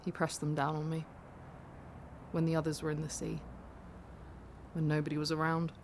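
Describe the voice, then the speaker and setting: An actress playing a candid, vulnerable young woman taxi passenger in an audio drama, confiding in a halting, self-deprecating way. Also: sits low, completely flat delivery, darkish tone, a little hiss or hum. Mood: pained, intense.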